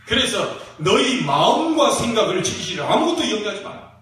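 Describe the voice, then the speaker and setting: Male preacher, regional Korean accent, high voice, emphatic exhortation, heavy room echo, church sermon. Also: sing-song, fairly bright tone. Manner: emphatic, exhorting, with rhetorical questions and drawn-out key phrases. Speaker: pastor preaching to a congregation. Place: church sanctuary during a service. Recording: very echoey church hall, distant mic, faint noise.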